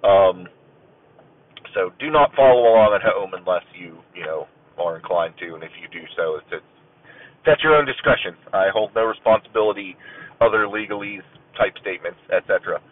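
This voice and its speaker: A man from Ohio hosting his own podcast, rambling casually with many ums, stuffy and clearing his throat as if getting a cold. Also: fairly low pitch, expressive, fairly quick. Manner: rambling, many ums and uhs, self-deprecating. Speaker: podcast host. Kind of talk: casual solo podcast chat. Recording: tinny phone-like audio.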